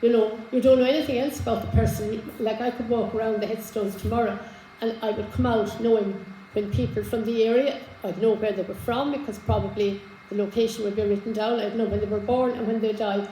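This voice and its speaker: Hoarse woman addressing a hall. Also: a little high, fairly even intonation, brisk, mellow, slight background noise. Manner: chatty, many fillers and restarts. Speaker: genealogy speaker. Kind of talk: informal talk to a live audience. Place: community hall with an audience. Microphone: handheld microphone.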